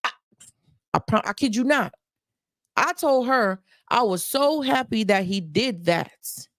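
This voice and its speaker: Woman life coach, emphatic, repeats herself, higher voice, dry sound. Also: lively intonation, slow pace, no room sound. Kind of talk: livestream advice talk.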